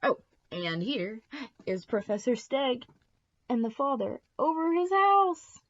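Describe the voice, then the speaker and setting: A woman reading a children's storybook aloud in an expressive narrating style. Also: sits high, takes it slowly, lo-fi sound.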